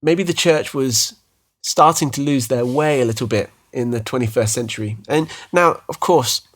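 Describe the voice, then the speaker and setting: A man's voice: mid-range voice, normal speed, a bit bright. British man chatting on a faith podcast. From United Kingdom. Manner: chatty, self-deprecating, frequent restarts. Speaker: podcast co-host. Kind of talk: casual faith podcast chat.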